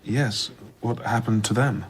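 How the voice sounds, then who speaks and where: An actor playing an inquisitive, sensible gentleman, questioning in a husky, matter-of-fact voice in an audio drama. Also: medium pitch, steady tone, a little slow. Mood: curious. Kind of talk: conversational audio drama dialogue.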